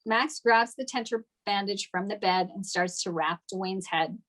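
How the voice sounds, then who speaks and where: A woman's voice: medium pitch, unhurried, fairly bright tone. A woman giving scripted narration, reading out stage directions at a table read.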